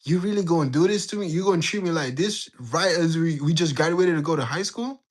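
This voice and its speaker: A young man in his late teens from Jamaica, telling a story in a slangy, animated way while bantering with his livestream chat. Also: on the high side, fairly quick. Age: young adult. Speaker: livestream host. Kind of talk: casual storytelling to live chat.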